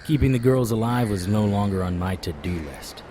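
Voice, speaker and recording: Deep, deadpan hard-boiled private eye played by a man. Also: moderate pace, a little hiss or hum, lightly acted. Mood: grim, tense. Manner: terse, deadpan. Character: hard-boiled male private investigator, weary and wry. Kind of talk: noir audio drama narration and dialogue.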